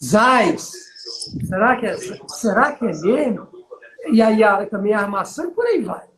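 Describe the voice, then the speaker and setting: A man's voice: sits high, very animated, measured pace, echoey room, crowd noise, poor audio. A middle-aged man with a Northeastern Brazilian accent, chatting as a business mentor and telling stories while checking that the listener follows. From Bahia, Brazil. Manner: storytelling, asks listener for agreement. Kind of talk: conversational business coaching.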